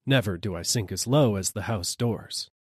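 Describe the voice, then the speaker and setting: A man's voice: on the low side, moderate pace, slightly rough, no echo, clean studio sound. A man reading a literary story as a dramatic audiobook narrator.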